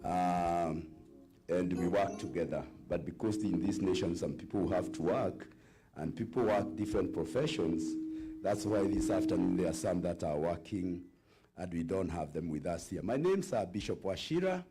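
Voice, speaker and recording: A man's voice: low-pitched, normal speed, music in the background. A man, a bishop, formally introducing himself and his group at a public gathering.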